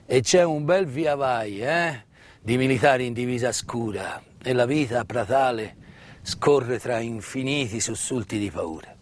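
A man tells a story of wartime history as a stage actor, with dramatic emphasis and deliberate pauses. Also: medium pitch. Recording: live music mixed under the voice. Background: live musical accompaniment.

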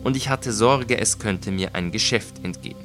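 A man voicing a cunning, vengeful gentleman in a story, flattering and coaxing with feigned concern for a friend. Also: on the low side, normal speed, faint music behind, lightly acted. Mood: sly, baiting. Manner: flattering, falsely solicitous, coaxing. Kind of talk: dramatised audiobook reading.

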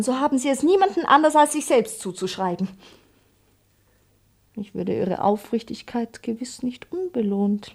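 Sly, high-voiced young maidservant. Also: female voice, darkish tone. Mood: coaxing, mock-offended. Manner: teasing, theatrical, mock-formal. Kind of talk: classic German stage comedy as radio play.

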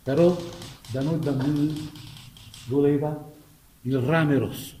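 Actor as a higher-voiced southern Italian storyteller, echoey. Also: male voice, steady tone, slow pace, dark tone. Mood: nostalgic. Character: man reminiscing about his rural childhood. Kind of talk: conversational theatrical storytelling. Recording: echoey room with background noise.

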